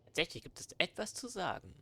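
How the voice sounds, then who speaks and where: A man's voice: sits high, very animated, fast talker, creaky, bright, forceful tone, slight background noise. A man giving casual commentary as he plays through a video game. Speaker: let's play commentator.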